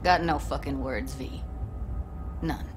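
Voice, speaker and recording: An actress playing a blunt, foul-mouthed young tech rebel in a video game, bitter and fiery. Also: female voice, low voice, takes it slowly, over music. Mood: bleak, angry. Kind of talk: video game dialogue acting.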